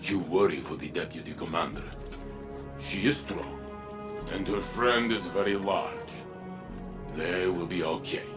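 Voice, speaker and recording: A big, soft-hearted robot soldier: broken English, slow and deliberate, game music underneath. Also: male voice, deep voice. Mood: gentle. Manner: broken English, short blunt sentences. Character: huge, kindly male robot warrior in broken English. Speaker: tactical overseer.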